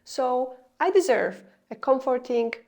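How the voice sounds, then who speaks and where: A woman's voice: sits high, expressive, measured pace, darkish tone. A young woman with a Polish accent speaking English as a second language, giving an earnest, confessional vlog talk. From Poland. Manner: earnest, confessional, slightly non-native grammar. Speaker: video creator. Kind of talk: scripted explanatory vlog.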